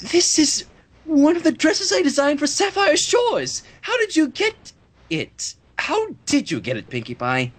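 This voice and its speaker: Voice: sits high, big pitch swings, measured pace, pitched above the performer's own voice. A reader voicing a refined, dramatic female cartoon unicorn with a theatrical, affected manner. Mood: astonished, grateful. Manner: theatrical, affected, says darling.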